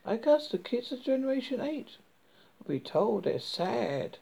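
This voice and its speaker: A man with a husky, creaky voice reading a first-person story dramatically, haltingly and stumbling over words as a horror host. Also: very high-pitched, big pitch swings, dark tone.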